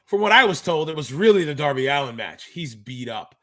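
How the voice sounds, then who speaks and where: Male podcast co-host, 30s. Slightly high, sharp forward voice, loose and slangy sports-insider delivery. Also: sing-song, a little fast. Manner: informal, slangy, talks like a wrestling insider. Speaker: co-host of a pro wrestling podcast; active pro wrestler. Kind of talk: casual podcast chat and commentary.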